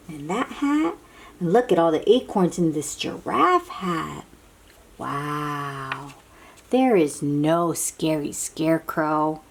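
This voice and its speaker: A woman reading a picture book aloud to young children, dramatically, with asides and questions to the listeners. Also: medium pitch. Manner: expressive, drawn-out, dramatic delivery with hushed moments.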